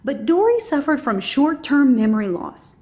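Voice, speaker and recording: A woman's voice: high voice, sing-song, moderate pace, a little mellow. A woman reading a children's storybook aloud as scripted narration.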